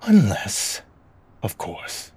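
A man playing a sarcastic, frazzled, domineering small-city mayor with a theatrical, drawn-out delivery in an audio drama. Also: darkish tone. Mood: menacing. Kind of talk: scripted audio drama acting.

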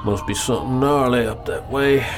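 An actor playing a folksy older trucker who talks in an easy drawl. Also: male voice, mid-range voice, normal speed, background noise, natural voice. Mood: easygoing. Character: easygoing older male trucker, folksy and kind.

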